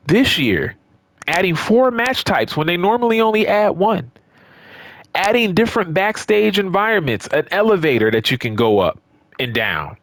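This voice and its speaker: A man with a slightly high voice gives casual, unscripted gaming commentary in a close, dry recording. He is emphatic, repeats phrases to drive them home and keeps checking in with his audience as he goes. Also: no room sound. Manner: conversational, checks in with listeners. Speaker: gaming YouTuber.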